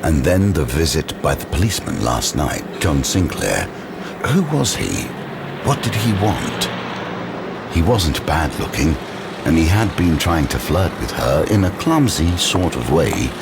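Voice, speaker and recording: Very deep, gravelly horror narrator. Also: male voice, sing-song, very noisy, exaggerated voice. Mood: ominous, measured. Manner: measured, suspenseful. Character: grave, ominous male storyteller of horror. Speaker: third-person narrator. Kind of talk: dramatic scripted narration for audio drama.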